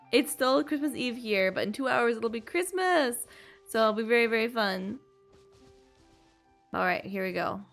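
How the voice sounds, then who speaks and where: A woman's voice: a little high, lively intonation, a little slow, long pauses, fairly bright tone, faint music behind. A woman casually chatting to viewers while she plays a video game, exclaiming and making playful little sound effects. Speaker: streamer playing a video game. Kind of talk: casual live gameplay commentary to viewers.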